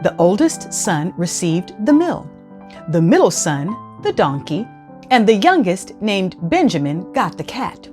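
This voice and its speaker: Lively woman reading a children's story, music behind. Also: on the low side, a little slow. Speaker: host and storyteller of a children's read-aloud show. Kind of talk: expressive children's storybook read-aloud.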